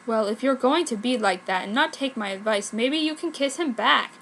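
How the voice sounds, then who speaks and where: A woman's voice: a little high, lively intonation, moderate pace, a bit bright, slight background noise. A woman voicing a worried, sensible teenage girl giving earnest, mournful advice in a fan fiction reading.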